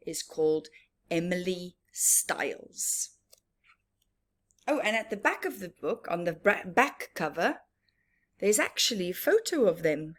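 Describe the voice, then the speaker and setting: A woman presenting a story time for young children, talking in a singsong way with repeated words and questions to her listeners. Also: on the low side. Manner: asks listeners questions and answers them. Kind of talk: children's read-aloud and teaching, addressed to young viewers.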